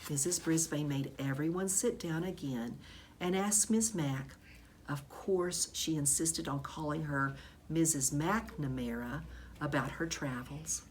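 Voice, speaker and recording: A woman reading a children's book aloud in expressive storybook narration, like a teacher. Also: low-pitched, a little slow, slight background noise.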